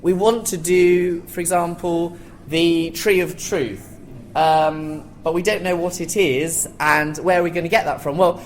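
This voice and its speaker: A man's voice: fairly high pitch, steady tone, measured pace. Man on a panel, faint noise. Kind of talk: informal expert panel talk. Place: theatre, live panel discussion. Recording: live theatre panel, background noise.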